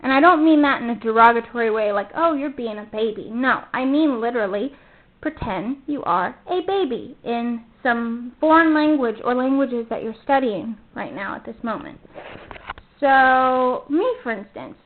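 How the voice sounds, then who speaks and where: A young college-age woman with a high voice talks through her podcast in a loose, rambling way, stopping to correct herself as she goes. The sound is narrow and tinny, like a phone line. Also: normal speed. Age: about 20. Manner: chatty, rambling, self-correcting. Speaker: podcast host. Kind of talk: casual solo podcast talk.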